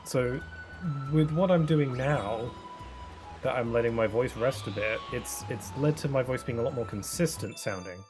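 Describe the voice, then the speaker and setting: A young man chats casually over a live game stream, his speech loose and rambling, full of fillers and restarts. He sits at a desk mic in a home setup. Clear game music runs steadily behind his voice. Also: medium pitch, moderate pace. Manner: rambling, soft-spoken, many fillers and restarts. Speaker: streamer playing a video game. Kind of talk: casual chat while gaming.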